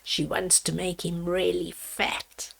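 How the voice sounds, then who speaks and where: A woman storyteller reading a fairy tale aloud to children, deliberately, with simple asides to her young listeners. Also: on the low side, flat delivery, vocal fry, slightly rough. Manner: deliberate, addresses children with simple questions and comments.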